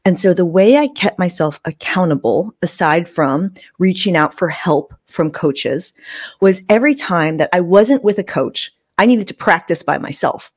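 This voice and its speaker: A woman tells personal stories in a casual podcast style. Her voice sits a little low. The sound is dry and close, recorded on a podcast microphone indoors. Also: animated, moderate pace, slightly rough, dry recording. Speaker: podcast host. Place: small room indoors, video call. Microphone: close podcast microphone with fluffy windscreen.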